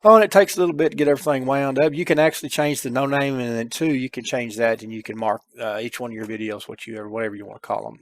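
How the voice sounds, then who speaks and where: A man's voice: medium pitch, fairly even intonation, fast, hardly any pauses, dry recording. A middle-aged man giving a casual, folksy step-by-step game tutorial on a headset, addressing his viewers informally. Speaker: gameplay tutorial host.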